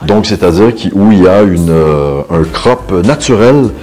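A middle-aged man talking about himself in an interview, hesitating and pausing between short phrases. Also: low-pitched, lively intonation, darkish tone. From Canada. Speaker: videographer, photographer and musician being interviewed. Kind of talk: interview self-portrait. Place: indoor photo studio. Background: background music.

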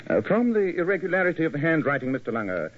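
A man's voice: a little high, very animated, fast. A man playing a self-assured English detective with a British accent, precise and formal, in 1940s radio acting. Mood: analytical. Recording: dull, lo-fi old radio recording.

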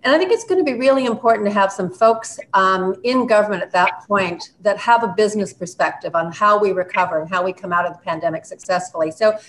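A woman in her fifties calmly asking a candidate a question on a video call from home. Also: mid-range voice, low-quality recording. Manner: measured, inquiring. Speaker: moderator. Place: home, bright room indoors. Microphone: video call webcam.

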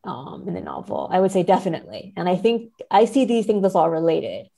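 A woman's voice: on the low side, animated, fairly quick, vocal fry, mellow. A woman speaking as a scholarly interview guest, explaining ideas in a careful, hedging academic manner. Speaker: podcast guest, literary scholar. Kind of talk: thoughtful academic interview talk.

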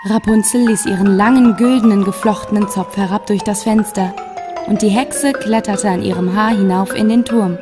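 A woman's voice: medium pitch, fairly even intonation, normal speed, over music. A woman storyteller reading a scripted fairy tale for children as narration.

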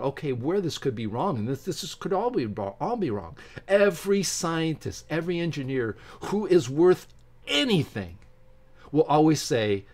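A middle-aged man reads aloud haltingly from a book and breaks off to give his opinionated, informal commentary as a channel host. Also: medium pitch, a little mellow. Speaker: YouTube science channel host. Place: small home office with bookshelves, indoors.

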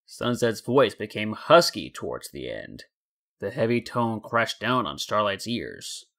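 A man's voice: on the low side, sing-song, unhurried, creaky, fairly bright tone. A man in his twenties reading a scripted story as a dramatic narrator. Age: 20s. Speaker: story narrator and channel host.

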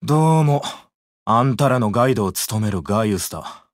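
Casting: male actor as laconic young guide, anime game, blunt and cool, lowish pitch, dry sound. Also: expressive, fairly quick, dry recording, natural voice. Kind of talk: anime mobile game voice acting.

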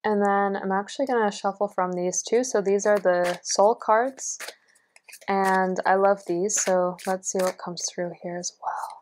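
A woman's voice: medium pitch, fairly even intonation, unhurried, a little mellow, a little hiss or hum. Encouraging woman reading tarot cards. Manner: earnest, encouraging, lots of fillers. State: losing her voice. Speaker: tarot reader. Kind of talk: pick-a-card tarot reading. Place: indoors at a table, only hands seen.

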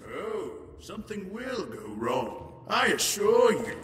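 An actor playing a vengeful, murderous male prisoner who whispers threats and shouts, in an amateur audio drama. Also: high voice, big pitch swings, slow, noisy background, exaggerated voice. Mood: menacing. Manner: menacing, whispering then shouting. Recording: echoey reverberant room with music.

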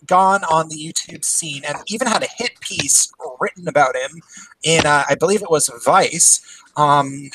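A man's voice: fairly high pitch, background noise. A man in his thirties hosting a podcast, chatting casually and laughing often over a call. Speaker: podcast host. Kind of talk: casual chat.

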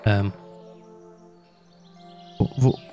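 Mellow relaxed guy chatting away over a video game, kinda rambling, music in the back. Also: extremely slow. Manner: chatty, rambling, self-deprecating. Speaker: gaming YouTuber. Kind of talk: casual let's play commentary.

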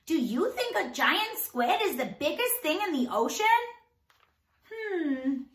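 A woman in her thirties doing an animated read-aloud for young children, enunciating with exaggeration and asking them questions as a teacher would. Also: sits high, slow, roomy sound. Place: small indoor room.

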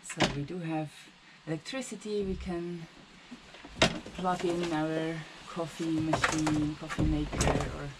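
A woman in her thirties giving a casual, halting demonstration to camera, as if searching for words. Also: sits low, steady tone. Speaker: vlogger demonstrating a camper van setup. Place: inside and beside a van in a large metal shed. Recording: clearly noisy, clattery shed recording.

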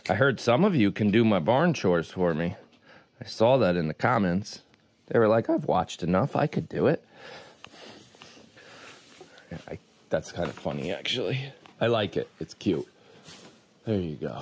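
A man's voice: deep voice, animated, normal speed, slightly rough. Chatty man doing barn chores. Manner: rambling, chatty, talks to viewers while doing chores. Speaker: farm vlogger. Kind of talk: casual vlog chat. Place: goat barn and farmyard outdoors. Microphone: handheld camera at arm's length.

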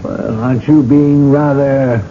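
An actor playing a dignified, patient older cardinal in a radio drama, speaking in a courteous, measured, gently ironic way. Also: male voice, mid-range voice, steady tone, close to an everyday voice. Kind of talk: 1980s radio drama acting. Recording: muffled, noisy old radio broadcast.

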